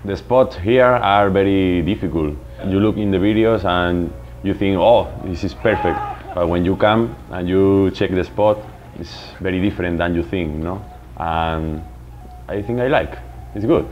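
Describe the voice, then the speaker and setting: A man's voice: low-pitched, unhurried, muffled recording, slight background noise. A man in his late twenties from Spain, speaking English with a Spanish accent in a casual interview. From Malaga, Spain. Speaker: skateboarder being interviewed.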